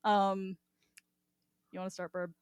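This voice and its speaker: A woman's voice: mid-range voice, flat delivery, measured pace, smooth, no room sound, studio quality. A woman hosting a casual podcast chat in a playful, profanity-laced way. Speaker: podcast host.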